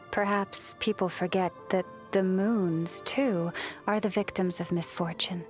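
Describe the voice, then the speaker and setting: A gentle, devout young priestess of the moon from a video game. She speaks slowly and softly at a medium pitch, measured and reflective, with breath trailing at the ends of her phrases. Music plays softly behind her. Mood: solemn, contemplative. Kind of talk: scripted video game character voice lines.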